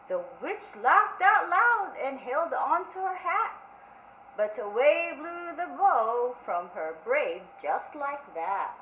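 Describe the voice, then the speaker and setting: A woman's voice: high-pitched, a bit bright, slight background noise. A woman hosting a storytime for young children and reading aloud to them with simple, repetitive prompting. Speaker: library storytime host. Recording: roomy echo with faint music.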